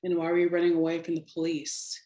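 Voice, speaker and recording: A woman's voice: on the low side, monotone, fast, dark tone, close to an everyday voice. A woman playing a stern, accusing police officer in an audio drama, questioning in a confrontational manner. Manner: interrogating, confrontational. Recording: echoey room sound.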